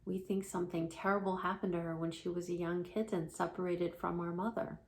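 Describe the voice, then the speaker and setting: A woman voicing a kindly, motherly older sister cat with wings from a storybook, explaining things gently and earnestly. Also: fairly low pitch, flat delivery, fairly quick, slight background noise, lightly acted.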